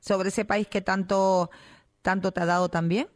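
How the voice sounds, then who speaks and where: A woman's voice: medium pitch, level tone, normal speed. A woman radio interviewer, prompting her guest with short questions.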